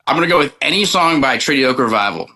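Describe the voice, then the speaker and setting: Country singer guy, really bright punchy voice, answering questions casually. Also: medium pitch, animated, fast, low-quality recording. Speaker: interview guest, country singer.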